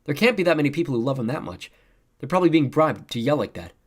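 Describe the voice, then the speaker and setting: A man's voice: fairly high pitch, big pitch swings, fast, husky, pitched above the performer's own voice. A man voicing a sceptical, argumentative teenage boy in a story. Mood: sceptical, insistent. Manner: insistent, argumentative.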